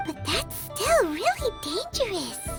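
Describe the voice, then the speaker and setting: Casting: childlike fairy mascot in a game, squeaky, extremely high-pitched, animated and exclamatory. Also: female voice, takes it slowly, loud music behind the voice, heavily acted. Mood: surprised. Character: tiny cheerful childlike female fairy companion, talks in third person. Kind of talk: video game voice acting.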